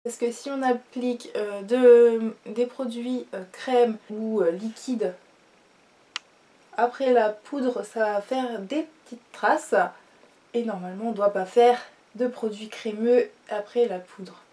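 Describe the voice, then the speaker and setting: A young woman with a stuffy nose from a cold, chatting casually through a makeup tutorial with many fillers and trailing off quietly. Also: on the high side, fairly even intonation, slow. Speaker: beauty vlogger.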